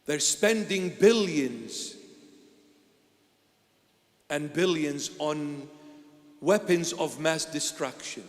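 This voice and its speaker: Man, bishop preaching slowly in a large echoing hall; dramatic swings in volume. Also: on the high side. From Iraq. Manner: dramatic, swings from loud exclamations to hushed lines. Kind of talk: sermon.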